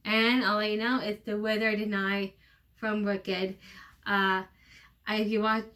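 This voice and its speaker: A woman from the United States reading her play and stage directions informally, in a halting, self-correcting way. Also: medium pitch, level tone, fairly bright tone, far from the mic. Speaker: writer and reader of own play.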